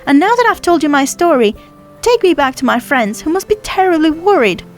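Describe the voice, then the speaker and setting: A little girl from the sea, acted by a woman in a storybook reading. She is high-pitched and lively, chattering proudly and affectionately with childlike certainty, while music plays behind her. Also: fairly quick. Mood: boastful, confiding. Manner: chatty, childlike, matter-of-fact boasting. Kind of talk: storybook character voice.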